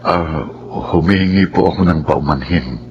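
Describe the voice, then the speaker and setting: Deep-voiced somber Filipino doctor. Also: male voice, normal speed, a little hiss or hum. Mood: grave, apologetic. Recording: muffled, under very loud music.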